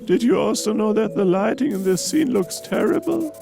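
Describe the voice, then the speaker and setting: A man's voice: high voice, animated, moderate pace, gravelly, pitched above the performer's own voice. A man voicing a blunt, sarcastic male sidekick who makes cutting remarks, with music playing behind. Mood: dry. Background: background music.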